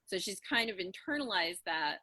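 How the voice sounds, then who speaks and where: A woman with a bright, forceful voice of average pitch talks through character ideas on a video call. She is informal and rambling, circling back on her words, in a dry-sounding space. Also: expressive, moderate pace, dry recording. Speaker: voice actor in a serialized audio drama.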